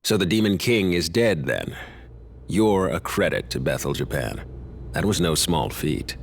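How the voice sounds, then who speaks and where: A middle-aged man playing a grave, calculating leader who speaks deliberately and with authority. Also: low-pitched, expressive, creaky, slight background noise, exaggerated voice. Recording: game music faint behind.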